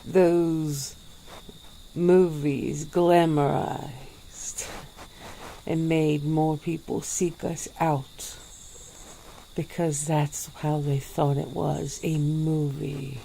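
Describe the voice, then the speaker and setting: A woman voicing an ancient, weary female vampire in a soft-spoken ASMR roleplay, with low chuckles. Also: level tone, extremely slow, creaky, slight background noise, exaggerated voice, rough recording.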